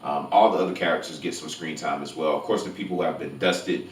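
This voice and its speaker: A man with a low voice talks like a fan reviewing a film for his vlog. He is emphatic and gushing, repeating words to drive them home. The sound is roomy and echoing, picked up some way from the microphone. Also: steady tone, quick pace, a little hiss or hum. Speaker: movie review vlogger. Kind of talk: casual spoiler-free film review to camera. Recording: roomy echo, distant from microphone.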